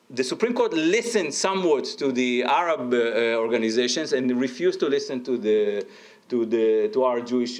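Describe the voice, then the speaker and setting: A man, speaking as a panelist at an academic discussion, hesitating often with fillers and then rising into emphatic bursts. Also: medium pitch. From Israel. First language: Arabic.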